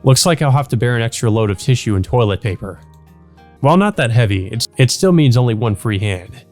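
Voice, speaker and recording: A man's voice: medium pitch, lively intonation, fairly quick, a little mellow, faint music behind. A man reading a visual novel aloud as a let's play host, casually and unpolished, dropping in his own asides.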